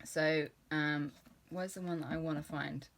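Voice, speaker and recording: Chatty British woman in her thirties, lower voice. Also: fairly even intonation, unhurried. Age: 30s. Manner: chatty, rambling, enthusiastic with frequent fillers. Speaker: book vlogger. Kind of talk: casual book review chat.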